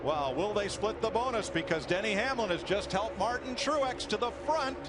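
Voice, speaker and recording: A man's voice: high-pitched, lively intonation, normal speed. A man calling a live motor race as lead commentator. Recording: loud engine noise behind. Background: roaring race cars at a speedway.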